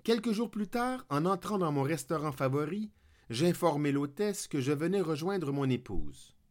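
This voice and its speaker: A man's voice: on the high side, lively intonation, normal speed, a little mellow. A man from Quebec with a Québécois French accent, hosting a podcast and reading a scripted humorous monologue full of declamatory wordplay. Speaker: podcast host.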